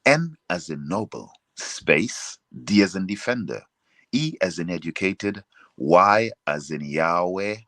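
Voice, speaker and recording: A man's voice: low voice, very animated, takes it slowly, fairly bright tone, no room sound. A man with a Jamaican accent chatting casually as a singer being interviewed and promoting himself.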